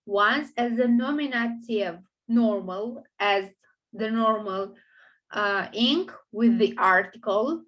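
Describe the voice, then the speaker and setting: Woman teacher with a Romanian accent, a bit high-voiced, talks slowly and pauses to think while explaining grammar. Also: rough recording. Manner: halting, explanatory, thinks aloud with fillers. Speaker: language teacher. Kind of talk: online grammar lesson.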